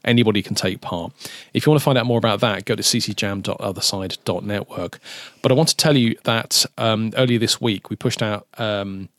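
A man's voice: fairly low pitch, steady tone, a little fast, fairly bright tone, dry recording. A man co-hosting a casual music podcast from a studio, cheery and chatty, often stumbling and restarting his words. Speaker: podcast co-host.

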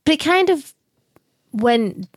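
A woman's voice: high voice, sing-song, slow pace, no room sound. A woman in her late thirties co-hosting a podcast, casual and teasing in banter. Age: 30s. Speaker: podcast co-host. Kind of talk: casual chat.

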